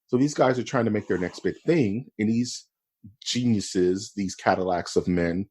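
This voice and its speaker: A man co-hosting a casual podcast, animated and joking, often calling his co-host "dude". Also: lowish voice, normal speed, slightly rough.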